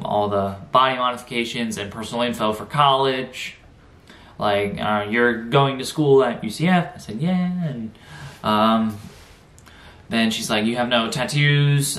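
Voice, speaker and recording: A young American man, around twenty, talking casually and haltingly to camera in a vlog, pausing often mid-sentence. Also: medium pitch, fairly bright tone. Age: young adult, around 20. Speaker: vlogger. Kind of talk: casual reaction vlog, recounting an experience. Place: small bedroom, indoors. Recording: roomy, echoey bedroom sound.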